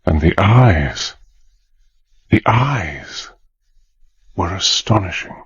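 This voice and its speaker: A courteous British war hero in a radio spy thriller. The voice is low and rough-edged, earnest in both dialogue and narration, on a slightly dull recording. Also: male voice, animated, very slow, heavily acted. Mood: awed narration. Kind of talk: radio drama acting and narration.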